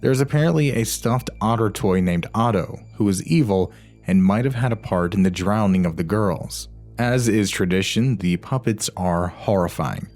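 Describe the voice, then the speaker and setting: Low-voiced man narrating over music. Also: normal speed, darkish tone. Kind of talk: scripted narration. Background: background music.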